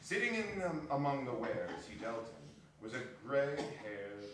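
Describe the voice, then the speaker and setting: A man's voice: mid-range voice, big pitch swings, unhurried, a crowd in the background. A man narrating a story as a theatrical dramatic reading. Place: indoor arts center hall, live performance. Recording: distant echoey hall, audience noise.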